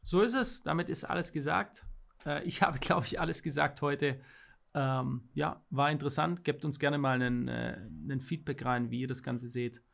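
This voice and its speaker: A man's voice: medium pitch, fairly even intonation, moderate pace, vocal fry, a bit bright. A man in his thirties co-hosting a podcast, giving opinions in an emphatic, rhetorical way. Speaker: podcast co-host. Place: small studio room, indoors. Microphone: studio microphone on boom arm.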